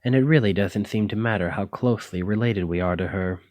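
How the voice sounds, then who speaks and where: Man playing a low-voiced, flirty dragon-blooded librarian. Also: a little fast, darkish tone, no echo, natural voice. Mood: amused, explaining. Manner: intimate, teasing, uses pet names. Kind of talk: ASMR romantic roleplay.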